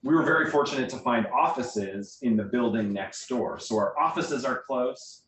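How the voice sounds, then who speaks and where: A man's voice: moderate pace, a bit bright. Male, theater director at an informal Q&A. Enthusiastic and conversational, distant mic in an echoey room. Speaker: guest speaker, theater artistic director. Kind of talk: informal question-and-answer talk to a group. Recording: roomy, distant mic, faint rumbling noise.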